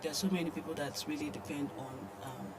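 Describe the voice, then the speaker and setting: A young woman with a Nigerian accent co-hosting a newspaper review discussion, speaking in a hesitant, halting way. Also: low voice, steady tone. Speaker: news show co-host. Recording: clear background noise and ambience.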